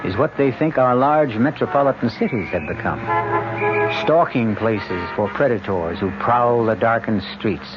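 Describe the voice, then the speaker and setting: An actor as a measured, ominous storyteller, narrating a crime tale for a vintage radio drama. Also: male voice, mid-range voice, animated, lightly acted. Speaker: host and narrator. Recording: dull old radio recording, loud music.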